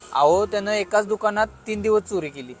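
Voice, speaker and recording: A man's voice: high-pitched, fairly quick, soft background music, close to an everyday voice. A man voicing a cheeky, lazy auto-rickshaw driver who wisecracks colloquially in a comedy cartoon. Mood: chatty, joking. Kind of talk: comic cartoon dialogue.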